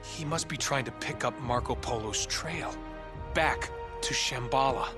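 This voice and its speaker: A man's voice: medium pitch, a little slow, lightly acted. An actor playing a wisecracking, eager treasure hunter in his thirties, with an American accent and a casual, wry delivery in a game cutscene. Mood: curious, absorbed. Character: wisecracking, eager male treasure-hunting adventurer. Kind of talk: video game cutscene acting. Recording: game music behind the voice.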